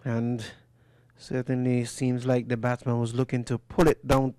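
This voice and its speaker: A man's voice: medium pitch, little pitch movement, measured pace, darkish tone, clean tone. A man commentating on a live cricket match from a commentary booth, chatty and teasing as he interviews a guest. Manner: chatty, teasing, fond of asking follow-up questions. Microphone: commentary booth microphone.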